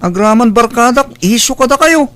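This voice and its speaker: Man acting very high-pitched, petulant Filipino youth. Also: expressive, normal speed, a bit bright, no room sound. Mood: defiant. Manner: petulant, curt. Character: sullen, demanding, disrespectful young son. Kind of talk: Ilocano radio drama acting.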